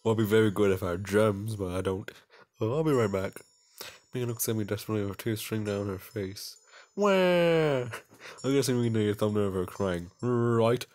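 Low-pitched man doing jokey gaming commentary. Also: fairly even intonation, brisk, a little mellow. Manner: jokey, self-mocking asides. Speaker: video game playthrough host. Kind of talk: casual gaming commentary mixed with reading visual novel text aloud. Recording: music behind the voice. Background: game music.

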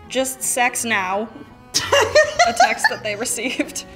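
A woman's voice: high voice, sharp tone. A woman of twenty-two, chatting casually as she scrolls her sister's phone, often giggling. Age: 20s. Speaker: participant. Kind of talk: casual reality-show commentary.

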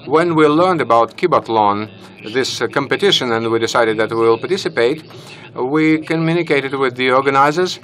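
Halting young man. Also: mid-range voice, a bit bright. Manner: halting, many filler sounds and restarts. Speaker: panelist. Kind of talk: conference panel remarks. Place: conference stage, large hall. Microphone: handheld microphone.

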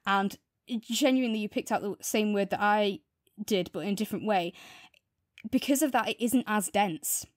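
A woman's voice: medium pitch, moderate pace, no echo, studio quality. A woman co-hosting a review podcast, chatting casually and thinking aloud in long winding sentences. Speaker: podcast co-host reviewing audio dramas.

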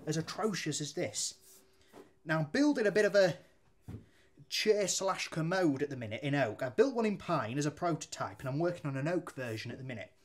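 A man, chatting casually as he demonstrates woodworking at a bench in his workshop, swearing now and then and using local slang. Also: on the high side. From England. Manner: talks while handling and planing wood at a bench. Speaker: woodworking teacher. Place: indoor workshop with brick walls.

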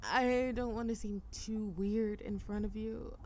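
A woman's voice: medium pitch, steady tone, a little slow, darkish tone, lightly acted. A woman playing a shy, affectionate young girlfriend who overthinks everything, hesitant and stammering in an intimate roleplay audio drama. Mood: anxious, confessional. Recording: faint ambient noise behind voice.

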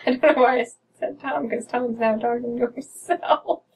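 Young American female co-host, casual reader and banterer. Husky voice, slightly distant recording. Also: on the high side, fairly even intonation, normal speed, darkish tone. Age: young adult. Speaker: co-host reading stories aloud. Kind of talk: casual banter and reading aloud. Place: small dim room indoors. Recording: somewhat distant from mic.

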